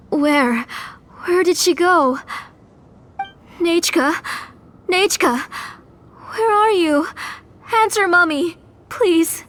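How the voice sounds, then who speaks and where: A woman playing a grief-stricken, obsessive mother in denial, halting and pleading, in a video game drama. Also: high-pitched. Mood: desperate, searching.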